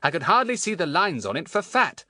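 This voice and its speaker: A man's voice: high-pitched, big pitch swings, fairly quick, pushed and bright, no echo, clean studio sound, pitched above the performer's own voice, exaggerated voice. A man voicing a clever, boastful schoolboy in a children's audiobook, chatting with self-satisfied relish. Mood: gleeful, boastful.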